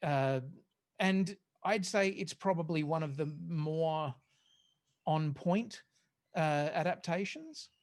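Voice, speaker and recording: A podcast panellist chatting casually in a group discussion, with a hesitant, halting delivery. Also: medium pitch, a little mellow.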